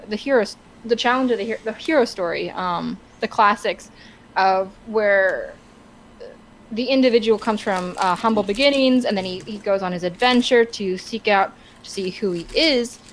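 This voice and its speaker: A woman co-hosting a podcast in casual, opinionated discussion, halting and stammering as she searches for words. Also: mid-range voice, rough recording. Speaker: podcast co-host. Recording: clear background noise.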